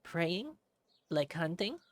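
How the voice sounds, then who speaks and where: A woman's voice: on the low side, very animated, slow, no room sound, pitched above the performer's own voice, exaggerated voice. A woman voicing an innocent, curious young fox boy in a visual novel, with a naive, earnest manner. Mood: puzzled. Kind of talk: character voices in a visual novel read-aloud.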